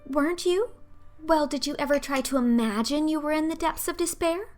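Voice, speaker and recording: A woman's voice: high voice, a little fast, darkish tone, faint music behind, lightly acted. A woman voicing a talkative, imaginative eleven-year-old orphan girl in an audiobook, theatrical and earnest in her pleading. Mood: earnest, woeful. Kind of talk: audiobook character acting.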